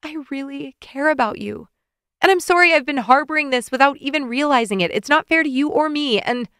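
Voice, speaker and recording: A flustered, heartbroken young woman, as a female narrator puts her on, pushed higher and tighter than the reading voice, blurting her feelings in teary rushes. close, dry sound with no room. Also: lively intonation, fairly bright tone, no room sound. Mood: upset. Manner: emotional, tearful outbursts.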